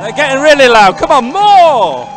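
A man's voice: very high-pitched, big pitch swings, normal speed, faint music behind, a faint crowd. A man giving live, ad-libbed sports commentary in a chatty, rambling, enthusiastic way.

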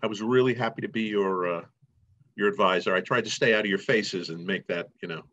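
A man's voice: fairly low pitch, a little fast, pushed and bright. A middle-aged man chatting casually as an advisor, encouraging and joking, on a video call. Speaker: faculty advisor. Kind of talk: casual chat. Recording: muffled video call audio.

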